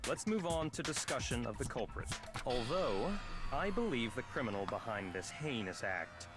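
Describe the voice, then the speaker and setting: A haughty, self-assured young man from a video game, played by an actor, formal and deliberate. His pitch moves widely for emphasis, and music plays clearly behind him. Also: big pitch swings, natural voice. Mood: haughty, certain. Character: cold, self-assured young man taking charge of a murder investigation.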